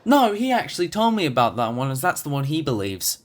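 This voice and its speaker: A man's voice: a little high, huge pitch swings, a little fast. A young man playing an eager, curious college student in an amateur audio drama.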